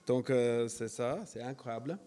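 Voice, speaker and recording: A man's voice: mid-range voice, fairly even intonation, unhurried, mellow. A man preaching a sermon in a church, hesitant with many fillers and self-deprecating.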